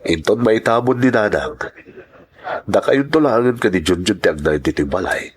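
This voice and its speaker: A man with an Ilocano accent acting a kindly, solemn priest in a radio drama, speaking gently and earnestly. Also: fairly low pitch, lively intonation, moderate pace, husky. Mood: gentle, solemn. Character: kindly, solemn male priest reassuring a woman.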